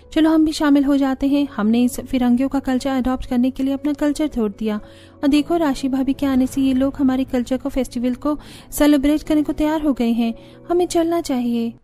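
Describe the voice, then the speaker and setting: Cartoon young sister-in-law, played by a woman: earnest, high-voiced, music faintly behind. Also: fairly even intonation, brisk, mellow, lightly acted. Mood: earnest pleading. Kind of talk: Hindi cartoon story dialogue.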